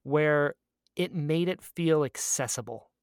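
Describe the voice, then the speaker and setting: A podcast co-host of ambiguous-sounding sex with a medium-pitched voice, recorded close and dry. The delivery is casual and gushing, full of a fan's enthusiasm, with phrases repeated for emphasis. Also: slow pace, clean tone, dry recording. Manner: acts out quoted exchanges in storytelling. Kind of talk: casual chatty podcast catch-up.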